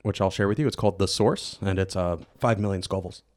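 A man's voice: deep voice, fast talker, a little mellow. A man chatting casually on a panel in a hesitant, meandering way. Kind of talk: casual chat.

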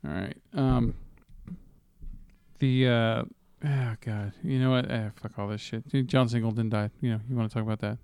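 A man's voice: mid-range voice, fairly even intonation, moderate pace, gravelly, a little mellow, smooth, no room sound. A man co-hosting a casual film news chat, talking in an informal, profane, rambling way. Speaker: movie podcast co-host.

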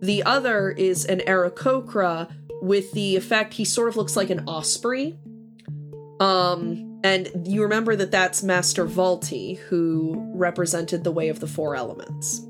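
A woman's voice: fairly low pitch, a little slow, over music. A woman narrating a tabletop game as game master, loose and chatty with many fillers.

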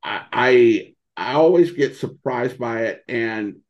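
A man in a casual interview as a podcast guest, talking haltingly and searching for his words. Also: medium pitch, animated, dull audio. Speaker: podcast guest, old-time radio podcaster.

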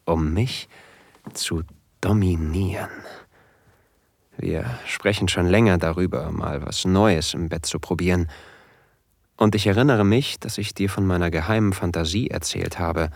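A man playing an eager, nervous submissive husband, confiding his story in a hushed, deliberate first-person audio drama narration. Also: deep voice, no room sound, studio quality.

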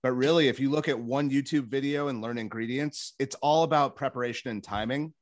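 A man's voice: medium pitch, fairly quick, no echo. A man chatting casually in a group as a wine professional, explaining things with self-assurance. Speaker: wine professional and cooking competition contestant.

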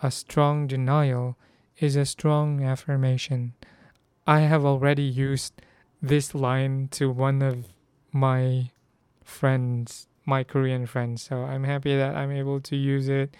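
A hoarse young man from the Philippines, whose first language is Filipino, chatting casually about learning Korean and stopping to restart his sentences. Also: mid-range voice, level tone, slow pace, a little mellow, dry recording. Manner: chatty, self-correcting, reads phrases aloud.

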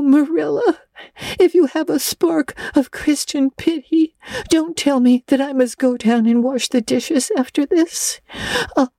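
A woman voicing an excitable, dramatic orphan girl who gushes and stammers in an audiobook. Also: high voice, no room sound, voice pushed up. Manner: breathless, gushing, stammering when upset. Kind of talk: audiobook character voice.

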